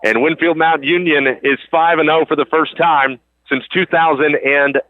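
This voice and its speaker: A man's voice: fairly high pitch, fairly even intonation, moderate pace, dry recording. A man doing live play-by-play sports commentary as a radio announcer, in clipped phrases that he stretches for emphasis on big plays. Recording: phone-like radio broadcast, loud gym noise. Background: crowd and game noise.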